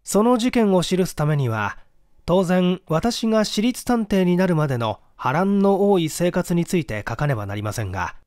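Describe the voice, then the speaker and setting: A man narrates an audiobook mystery in a slightly high voice. His intonation moves widely and expressively, while the delivery stays formal and measured. The recording is dry and close. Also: darkish tone, no room sound. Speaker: narrator reading a classic mystery story aloud. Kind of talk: dramatic scripted audiobook narration in first person.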